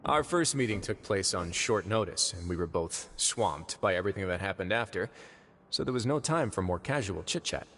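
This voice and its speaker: An actor playing a calm, shrewd young duke who runs a prison, smooth, measured and politely teasing. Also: male voice, deep voice, slight background noise. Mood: courteous. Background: game background music.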